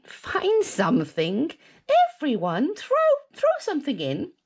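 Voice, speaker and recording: A woman voicing an excitable storybook witch, exclaiming dramatically. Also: high-pitched, slow pace, slightly rough, pitched above the performer's own voice, heavily acted. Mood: excited. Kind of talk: storybook character voice.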